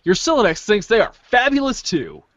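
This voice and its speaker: Male narrator, high-pitched and wildly animated, playful dramatic reading, dry muffled recording. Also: moderate pace, no echo. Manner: theatrical, playful. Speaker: podcast narrator reading a webcomic aloud.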